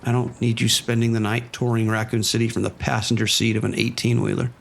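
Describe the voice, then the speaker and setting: A man playing a dry, cautious vice sergeant in a police radio drama, giving orders with deadpan authority. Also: mid-range voice, fairly quick, creaky, a little hiss or hum, close to an everyday voice. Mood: cautious, instructive.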